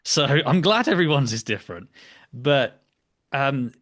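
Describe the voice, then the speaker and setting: A man's voice: medium pitch, expressive, measured pace, fairly bright tone. A young man hosting a podcast in casual interview chat, with a hesitant, rambling delivery. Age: young adult. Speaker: podcast host. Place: small home room, indoors. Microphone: computer mic on a video call.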